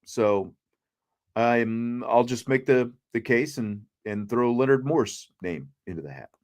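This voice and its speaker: A man's voice: mid-range voice, slow, darkish tone. A man hosting a casual sports discussion, explaining his picks in a conversational way. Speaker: sports talk show host.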